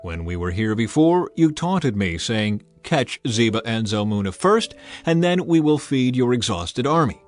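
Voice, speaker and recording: A man voicing a bold, stern Israelite war leader with forceful, declarative oratory in a dramatized audiobook. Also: mid-range voice, very animated, a little slow, faint music behind. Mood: grim anger.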